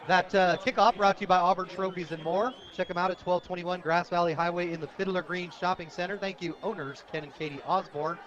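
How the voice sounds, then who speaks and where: A man's voice: high-pitched, fairly even intonation, fairly quick, hardly any pauses, fairly bright tone. An American man doing live radio play-by-play of a football game as the announcer. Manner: reads sponsor plugs between plays. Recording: dull lo-fi outdoor radio broadcast.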